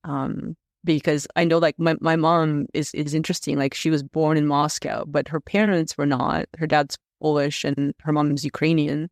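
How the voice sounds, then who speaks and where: A man's voice: a little high, level tone, moderate pace, vocal fry, hardly any pauses, no room sound, clean studio sound. A man from Russia, whose first language is Russian, chatting as a podcast guest, rambling with many fillers and restarts. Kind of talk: casual interview chat.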